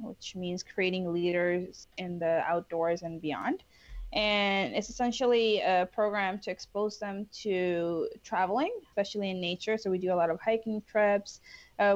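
A young woman talks through her experiences in a chatty, unpolished way, often restarting sentences and filling pauses. Her pitch sits in a middle range. The recording sounds somewhat dull and lo-fi. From Bangladesh, raised in New York City. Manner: chatty, frequent fillers and restarts. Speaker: interview guest, Peace Corps volunteer. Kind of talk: casual interview answers.

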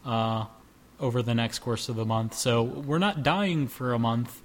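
A man's voice: mid-range voice, a little slow. A man in his twenties hosting a casual group chat, joking and rambling. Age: 20s. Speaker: podcast host.

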